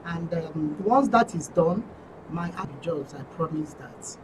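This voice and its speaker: A Nigerian woman answering interview questions as an election candidate, assertive but halting and repetitive. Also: fairly low pitch, animated. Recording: distant mic with clear noise.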